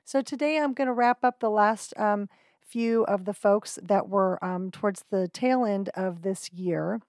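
A woman's voice: mid-range voice, a little slow, darkish tone, no room sound, clean studio sound. A woman hosting a podcast, introducing guest clips in an upbeat, friendly way with casual "um"s. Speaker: podcast host.

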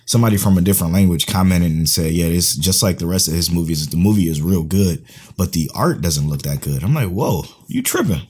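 A man co-hosting a casual podcast, laid-back and slangy, his voice going hoarse. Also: deep voice, expressive, brisk, darkish tone. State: losing his voice. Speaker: podcast co-host.

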